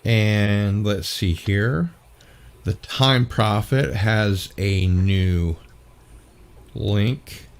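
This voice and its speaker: Tired male livestream host with a low voice, slow and halting, full of fillers. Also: smooth. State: tired from little sleep. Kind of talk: casual online panel chat.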